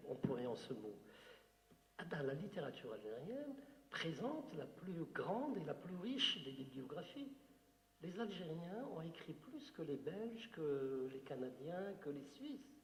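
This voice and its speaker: A male author from Algeria, whose first language is Algerian Arabic, giving an unscripted talk to an audience, digressive and emphatic with many fillers. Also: a little high. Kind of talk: unscripted lecture answering a question. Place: hall with audience. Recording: roomy hall with long reverb.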